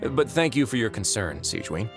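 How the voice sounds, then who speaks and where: A deep-voiced, wry prison warden. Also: male voice, sing-song, brisk, loud music behind the voice. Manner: smooth, courteous, wryly sarcastic. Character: suave, dry-witted male prison warden. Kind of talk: game voice acting.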